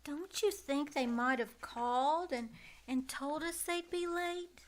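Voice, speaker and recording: A woman's voice: high voice, takes it slowly. A woman playing an eager, anxious little girl in an old-time radio drama. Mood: subdued, disappointed.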